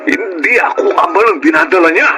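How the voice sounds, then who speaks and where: An actor with a Filipino accent playing a flustered, evasive, pleading husband in a Tagalog radio drama. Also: male voice, high voice, expressive, brisk, over loud music. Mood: pleading, defensive. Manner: stammering, pleading. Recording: noisy radio drama recording.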